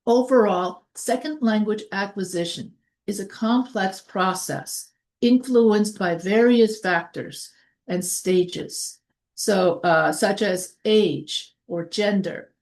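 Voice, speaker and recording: A woman's voice: medium pitch, slow, darkish tone. Woman giving a recorded college lecture. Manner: reads text then explains off the cuff with restarts and fillers. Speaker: college instructor. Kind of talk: lecture reading from notes.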